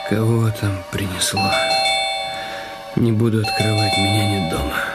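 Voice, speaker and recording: An actor playing a curt, cornered husband with a guilty secret in a radio drama, his voice husky. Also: fairly low pitch, steady tone, unhurried, loud music behind the voice, lightly acted. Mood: irritated, defiant. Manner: curt, brusque. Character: cornered, irritable husband hiding a crime.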